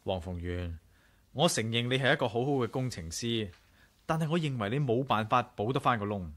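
A level-headed, inquisitive male adventurer in a 1980s radio drama. His Hong Kong Cantonese delivery is firm and reasoning. The pitch sits in the middle and moves in wide, animated swings. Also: brisk.

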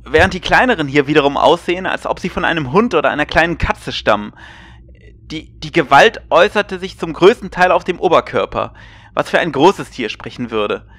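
A man's voice: fairly high pitch, expressive, brisk, a bit bright. A man playing a sober, uneasy small-town doctor in a German horror radio play. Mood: sober, analytical. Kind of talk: German horror audio drama acting.

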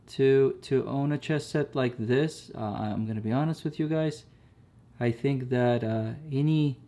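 A man in a casual product review, speaking at an ordinary middle pitch. He hesitates often, repeating words and adding fillers as he goes. Recorded close indoors at a table. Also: a little mellow. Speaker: chess set reviewer.

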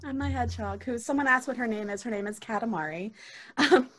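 A woman's voice: fairly high pitch, brisk, fairly bright tone. A young adult woman chatting casually as a performer on a live podcast panel. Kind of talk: casual chat, thanking fans. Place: indoors, on a video call.

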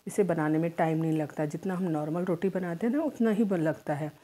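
A woman's voice: lowish voice, fairly quick, mellow. A woman giving a step-by-step home cooking voice-over in an instructional manner. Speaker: home cook, cooking channel host.

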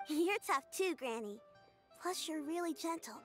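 A woman's voice: sits high, lively intonation, measured pace, a bit bright, soft background music. A woman voicing a kind, plucky, earnest young girl in a video game, a little hesitant. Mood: gentle, upbeat.

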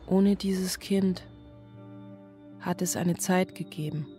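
A woman's voice: fairly low pitch, fairly even intonation, takes it slowly, mellow. An actress playing a weary, brooding single mother in a radio drama monologue, loving yet overwhelmed. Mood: weary, tender. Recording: music behind the voice.

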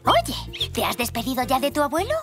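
A woman voicing a doting zebra grandmother in a cartoon dub, gentle and expressive. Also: high-pitched, a little fast, over music, exaggerated voice. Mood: encouraging. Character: warm, doting zebra grandmother who loves baking. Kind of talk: Spanish-dubbed preschool cartoon acting.

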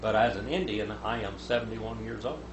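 A man in his seventies teaching the Bible at a lectern, reading scripture aloud and voicing its speakers louder. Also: on the low side, normal speed. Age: 70s. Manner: reads scripture aloud, rising loud for quoted speakers. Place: small room with a lectern. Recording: echoey room, noticeable hum.

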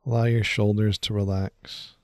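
A man leading a guided meditation in a soothing, unhurried way, speaking in short phrases with long pauses. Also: fairly low pitch, level tone, a little mellow, no room sound, clean studio sound.

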